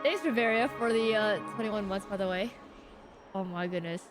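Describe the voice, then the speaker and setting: A woman's voice: mid-range voice, moderate pace. A woman game streamer giving casual live reaction commentary, exclamatory and slangy. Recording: game music behind stream voice.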